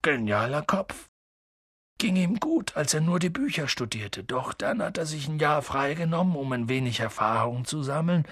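A man's voice: on the high side, sing-song, normal speed, fairly bright tone, husky, no room sound, clean studio sound, full character voice. A man voicing a big, kindly, protective fellow who talks in casual clipped phrases, in an audiobook. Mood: sympathetic, gossiping. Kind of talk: audiobook character voice.

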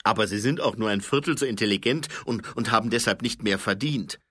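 A man's voice: lowish voice, big pitch swings, moderate pace, sharp tone, no echo, studio quality, voice pushed up, heavily acted. A man playing a blustering old fat sergeant. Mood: grumbling. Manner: blustering, grumbling. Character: fat older male sergeant, gruff, grumbling, prejudiced.

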